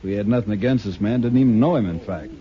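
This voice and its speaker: A man's voice: lowish voice, quick pace, close to an everyday voice. An actor playing a dangerous, unruffled frontier gunman in a radio western, plain-spoken, with an American accent. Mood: cool, feigned regret. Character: cool, dangerous gunman brother of the Old West. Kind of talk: 1950s radio western acting. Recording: dull old radio broadcast.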